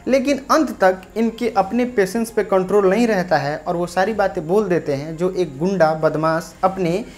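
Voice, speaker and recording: Low-pitched woman presenting local news. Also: moderate pace, a little mellow. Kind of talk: local news report narration addressing viewers. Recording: faint music bed behind voice.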